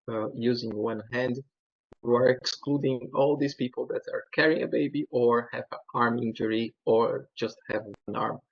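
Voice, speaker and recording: A man from Brazil with a Brazilian Portuguese accent, giving a webinar presentation in a halting way with many fillers and restarts. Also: medium pitch, gravelly, no room sound, dull audio.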